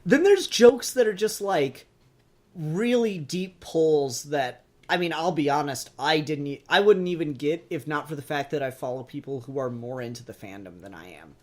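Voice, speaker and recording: Guy with a kinda high voice geeking out on a podcast, swears a lot, just chatting. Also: expressive, moderate pace. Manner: sweary, enthusiastic fan talk. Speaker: podcast co-host reviewing a fan dub. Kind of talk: casual review chat.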